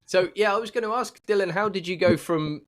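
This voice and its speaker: A man's voice: high voice, a little fast, fairly bright tone. A British man hosting a podcast, asking questions in a casual, slightly tentative conversational way. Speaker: podcast host. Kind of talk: casual interview chat.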